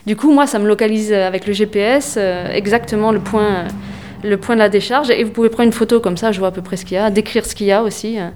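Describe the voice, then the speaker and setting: Young woman, halting and full of fillers. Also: medium pitch, darkish tone, a little hiss or hum. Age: young adult. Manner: hesitant, many fillers, spells words out slowly. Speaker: environmental association volunteer. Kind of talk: radio interview answers.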